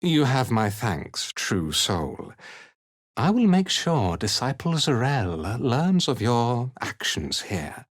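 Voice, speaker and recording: An actor playing a haughty, ruthless cult commander in a video game, speaking in an imperious, deliberate, disdainful manner. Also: male voice, medium pitch, sing-song, no room sound, clean studio sound, heavily acted. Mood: cold contempt. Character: haughty, ruthless male cult commander, contemptuous slave driver. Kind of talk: video game character acting.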